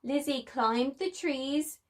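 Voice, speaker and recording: A woman's voice: sits high, slow. A woman presenting a preschool story time, speaking in an encouraging way to small children.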